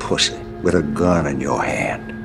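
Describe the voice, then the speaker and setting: An actor playing a menacing older male crime boss who talks in a measured, preaching way in a film scene. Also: rumbling low, expressive, vocal fry, over music, full character voice. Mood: lecturing. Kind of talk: film dialogue. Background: film score.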